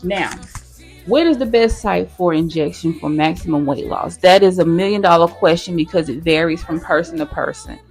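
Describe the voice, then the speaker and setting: A nurse chatting casually with her viewers in a how-to vlog, talking straight to them as y'all, her voice hoarse. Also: on the low side, moderate pace, a little mellow, soft background music. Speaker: nurse sharing her own experience.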